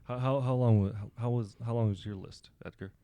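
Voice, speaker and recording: A young man co-hosting a podcast, pushing back quickly and repeating himself as he quizzes the others. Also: mid-range voice, fairly even intonation, warm tone, clear, resonant tone. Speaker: podcast co-host. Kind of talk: casual chat.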